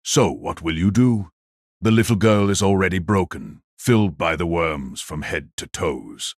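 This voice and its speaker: Casting: ancient villainous mage, extremely low raspy voice, gloating and sinister, dramatised audiobook. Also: male voice, no echo, clean studio sound. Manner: slow, sinister, condescending. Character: wicked old male magus patriarch, cold, mocking, gloating.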